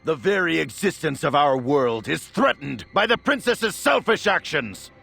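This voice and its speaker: A man playing a grandiose, scheming nobleman in a fantasy game, giving a measured, theatrical speech. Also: a little high, big pitch swings, vocal fry, bright, forceful tone, faint music behind, heavily acted. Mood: solemn, grandiose. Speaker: villain orator in a fantasy game.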